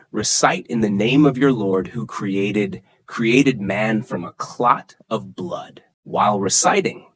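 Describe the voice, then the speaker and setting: A man's voice: medium pitch, fairly bright tone, breathy edge, no echo, low-quality recording. A man narrating a scripted religious story for children in a dramatic storytelling manner.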